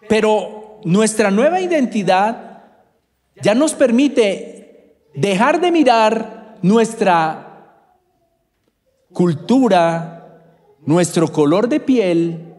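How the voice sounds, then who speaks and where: A man's voice: high-pitched, a little mellow. A man from Bogotá with a Bogotá Colombian accent, preaching a sermon and calling out congregation members by name. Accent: Bogotá Colombian Spanish. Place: church hall. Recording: reverberant church hall.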